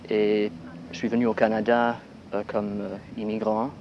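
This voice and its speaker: A man's voice: fairly low pitch, level tone, moderate pace, a little mellow. A man from England, a graduate student, answering an interviewer's questions with many hesitations and fillers. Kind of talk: archival radio interview answers. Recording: dull 1960s radio archive, faint hiss.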